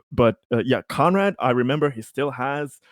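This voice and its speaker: A man with a mid-range, very lively voice plays a tabletop game. He sounds amused as he casually narrates his character's moves, in a dry, close recording. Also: medium pitch, unhurried, no echo. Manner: amused, laughs readily, swears.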